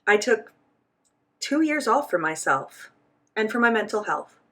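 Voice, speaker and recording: A woman voicing the interpretation of a signed interview, speaking for both host and guest in a measured first-person delivery. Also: on the high side, big pitch swings, a bit bright.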